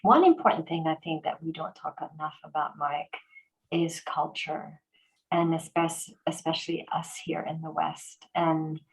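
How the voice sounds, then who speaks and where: Woman, lowish pitch, animated storyteller, chatty interview, frequent restarts. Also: measured pace, rough recording. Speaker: organization president and host. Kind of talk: conversational interview.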